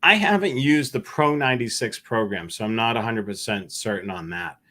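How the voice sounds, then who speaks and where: A man's voice: fairly low pitch, expressive, moderate pace, sharp tone. A man hosting a live show solo, talking casually and a bit hesitantly as he chats to viewers and takes their questions. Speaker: host of a scanner radio live show.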